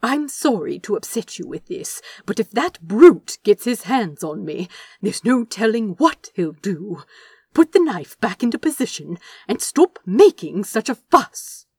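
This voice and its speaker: A woman's voice: fairly high pitch, big pitch swings, slow pace, breathy edge, dry recording, clean studio sound, pitched above the performer's own voice, heavily acted. A woman voicing a fussy, stubborn old male angel who speaks in a prim, coaxing way. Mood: coaxing, insistent. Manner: prim, coaxing, soft-spoken.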